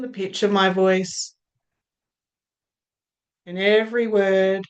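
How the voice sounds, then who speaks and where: A woman with an Australian accent guiding a hypnosis session, speaking in soothing, drawn-out phrases that trail off softly. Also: medium pitch. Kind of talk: guided hypnosis induction.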